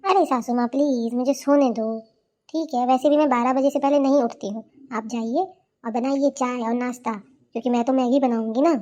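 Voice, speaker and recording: Cartoon daughter-in-law, female actor: young, lazy, brash, high soft-toned voice, always complaining and talking down. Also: fairly even intonation, fairly quick, lightly acted. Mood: irritated, dismissive. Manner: scornful, bossy, complaining. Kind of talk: cartoon character dialogue.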